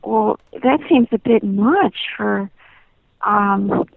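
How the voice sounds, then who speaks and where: A woman's voice: medium pitch, drawn-out words, creaky, dry recording. A woman on a muffled, band-limited phone line, talking politely and hesitantly, sounding puzzled. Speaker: nanny receiving a prank call. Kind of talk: phone conversation. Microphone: telephone.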